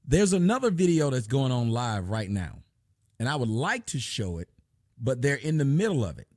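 A man, hosting a live stream from home and mocking the clips he shows in loud, preachy rants. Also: mid-range voice. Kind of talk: ranting commentary on live streams. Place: home room with a fireplace.

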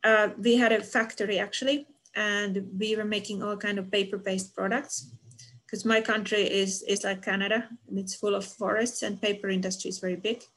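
A woman's voice: medium pitch, level tone, normal speed, fairly bright tone. A middle-aged woman from Finland, a university professor, answering questions from the chat on an online lecture call, with many fillers. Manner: reads chat comments aloud and answers them, with fillers.

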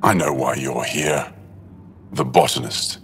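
A resigned alien townsman from a video game. His voice is extremely deep, measured and solemn, with a defensive edge. It rings in a long hall reverb over faint ambience. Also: fairly bright tone, a little hiss or hum. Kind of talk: video game dialogue acting.

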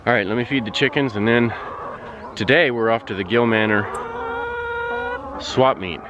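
Man in his 40s, casual vlog talk, medium pitch, outdoors on a camera mic. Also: normal speed, muffled recording, noisy background. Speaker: homestead vlogger. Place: outdoors, yard and busy farm meet. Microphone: handheld or nearby camera.

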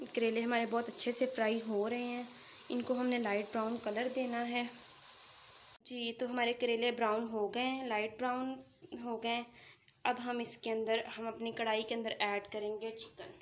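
A woman with a fairly high voice guides viewers through a recipe one step at a time, talking straight to them. She speaks from her home kitchen by the stove, with the faint sizzle of frying behind her. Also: flat delivery, normal speed, a little hiss or hum. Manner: instructive, addresses viewers directly. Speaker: cooking channel host.

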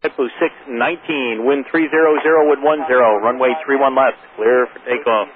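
A man's voice: medium pitch, fairly even intonation, brisk, narrow, tinny audio, a crowd in the background. A man working as a tower controller, giving instructions over aviation radio.

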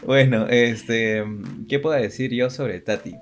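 A man's voice: mid-range voice, fairly even intonation, takes it slowly. A young man co-hosting a channel, talking in casual unscripted chat. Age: young adult. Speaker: co-host of a two-person channel. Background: background music.

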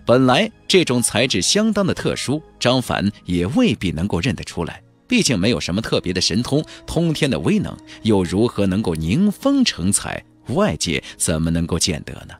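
A man narrating a fantasy audiobook in a measured, theatrical storytelling delivery. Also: on the low side, wildly swooping pitch, faint music behind. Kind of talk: dramatic scripted narration of a fantasy novel.

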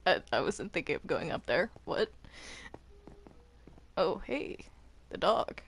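Woman with very animated pitch swings. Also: slow pace, gravelly, breathy edge. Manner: excitable, stammering, repeats phrases. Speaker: Minecraft roleplay player. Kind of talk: improvised in-game roleplay chat.

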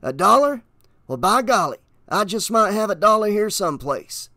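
A man's voice: sits high, very animated, moderate pace, bright, forceful tone, no echo, clean studio sound, pitched above the performer's own voice, full character voice. A man voicing a shady, weary stranger with a grating voice and a coaxing, menacing manner in an audiobook. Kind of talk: audiobook character voice.

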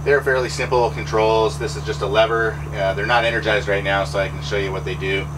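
Boat owner, male, lowish voice, easygoing explainer over steady engine noise. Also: fairly quick. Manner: relaxed, practical, with fillers. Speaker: boat owner giving a tour. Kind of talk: casual explanatory walkthrough. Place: pilot house of a trawler yacht underway.

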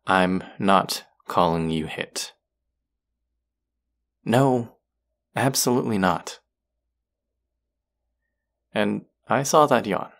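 A man's voice: fairly low pitch, expressive, very slow, gravelly, long pauses, a bit bright, full character voice. A young man acting as an earnest, wry young vampire in an intimate audio roleplay drama. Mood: wry, caring.

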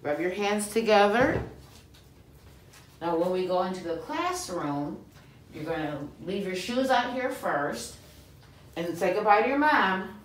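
A woman's voice: mid-range voice, big pitch swings, a little slow, pauses often. A middle-aged woman, a preschool teacher, giving encouraging instructions through a face mask in a role-play with a child. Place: small school entry room, indoors. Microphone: distant camera microphone. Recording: very echoey room, distant, faint noise.

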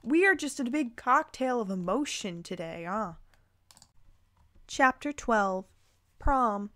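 A woman's voice: a little high, animated, slow pace, a bit bright, dry recording. A woman reading fan fiction aloud, dropping casual, incredulous, playful asides as she goes.

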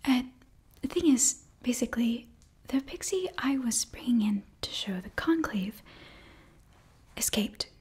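A young woman whispering very close to the mic in an ASMR fantasy roleplay, halting, flustered and apologetic as a student sorceress. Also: fairly high pitch, lively intonation, darkish tone, husky. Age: young adult. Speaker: ASMR performer. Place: small indoor bookshelf set. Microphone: very close ear-to-ear microphone.

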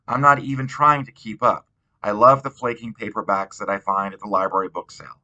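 A man reading a novel aloud in an expressive storytelling style. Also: on the low side, poor audio. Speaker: audiobook reader.

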